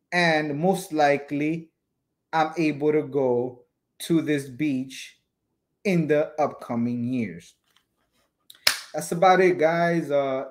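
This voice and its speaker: A young man reads crypto headlines aloud from his desk and breaks off to chat casually with his viewers. His voice sits a little high for a man. It is recorded on a webcam in a small room. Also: darkish tone. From United States. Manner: casual, chatty, addresses viewers as you guys. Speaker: crypto vlogger. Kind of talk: reading news aloud with casual commentary.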